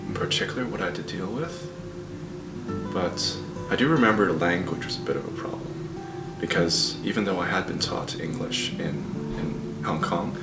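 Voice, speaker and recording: A man's voice: low voice, creaky. A young Canadian man, a student, thoughtfully reflecting on his childhood and searching for his words. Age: young adult. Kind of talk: reflective personal account. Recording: roomy, distant recording. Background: guitar music.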